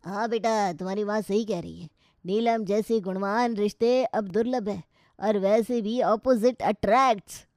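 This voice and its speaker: A woman voices an Indian pandit matchmaker from a folk-style story. The delivery is measured and approving, like a polite sales pitch, in a mellow, dry sound. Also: fairly even intonation, dry recording, close to an everyday voice. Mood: persuasive.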